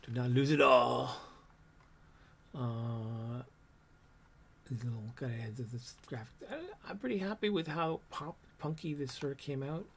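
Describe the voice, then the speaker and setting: A man in his fifties with a low, soft voice, reminiscing casually on a livestream about his old art, with many ums and trailing thoughts. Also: measured pace, vocal fry, slight background noise. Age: 50s. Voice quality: low, soft voice that doesn't project. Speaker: comics artist showing his old work.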